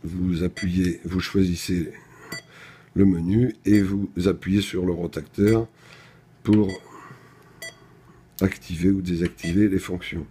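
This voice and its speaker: A man with a low, mellow voice walks through a piece of equipment. He explains each step methodically, as in an informal product demo. There is faint noise behind him. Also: fairly even intonation, takes it slowly, pauses often. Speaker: product presenter. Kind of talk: unscripted technical product demonstration.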